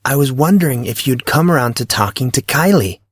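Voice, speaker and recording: A man's voice: medium pitch, lively intonation, fairly quick, husky, no echo. A man voicing a puzzled, skeptical fourteen-year-old schoolboy, questioning and sarcastic, in an audio drama. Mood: pressing. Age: teenager. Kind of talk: audio drama character reading.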